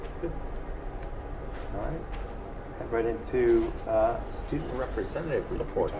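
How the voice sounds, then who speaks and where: A man chairing a school board meeting, brisk and procedural as he moves through the agenda. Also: medium pitch, expressive. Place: meeting room. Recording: distant mic, noise.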